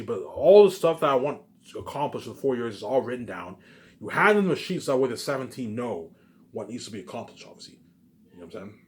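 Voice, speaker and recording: A man giving an informal, rambling monologue, repeating himself and restarting phrases, with emphatic tag words. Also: mid-range voice. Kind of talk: rambling informal monologue about personal plans.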